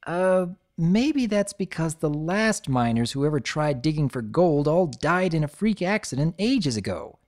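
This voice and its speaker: A woman voicing a brash tomboy girl pony who talks in a gruff, sarcastic, complaining way. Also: low-pitched, sing-song, unhurried, few pauses, a little mellow, voice pushed up. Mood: grumpy.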